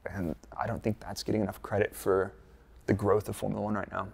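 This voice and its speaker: Gravelly laid-back American man. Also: lowish voice, little pitch movement, fast, darkish tone. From United States, Dallas. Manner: laid-back, chatty, lots of fillers and jokey asides. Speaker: F1 content creator, former performance engineer. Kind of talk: casual interview answers.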